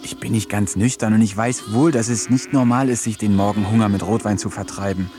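An actor narrating a radio play as a poet, reading diary-like entries in a wry, introspective way. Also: male voice, lowish voice, fairly even intonation, fairly quick, natural voice. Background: music.

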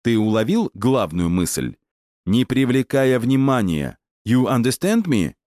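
A man's voice: mid-range voice, sing-song, unhurried, a little mellow, dry recording, clean studio sound. A man voicing a stern male operative in an audiobook, lecturing with pointed questions and curt orders. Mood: stern, reproachful.

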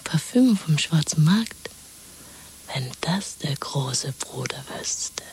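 An actress playing a tender, dreamy young woman lover in a 1970s German radio drama, speaking in an intimate, coaxing, hushed whisper. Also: low voice, animated, slow pace, full character voice, poor audio. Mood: playful, hushed. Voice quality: breathy, whispering.

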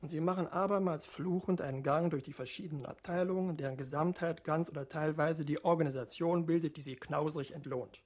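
A male actor plays a dry, formal voice reciting setbacks in an experimental radio play. He is a fairly high-pitched man with a husky, rough-edged tone. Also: brisk, few pauses, darkish tone, close to an everyday voice. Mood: resigned.

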